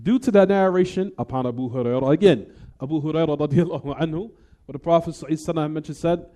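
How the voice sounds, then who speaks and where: Man teaching a lesson, dark voice. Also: a little high, racing. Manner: asks the class rhetorical "what?" questions and checks "everyone?"; casual, conversational English mixed with Arabic terms. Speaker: Islamic teacher. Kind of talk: classroom lesson explanation.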